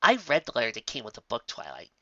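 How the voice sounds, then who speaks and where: A young male dragon assistant from a storybook, acted by a man. The voice is bright and lively, with smug, sardonic scolding. The sound is dry and slightly muffled. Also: medium pitch, quick pace, dry recording. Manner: sardonic, chiding.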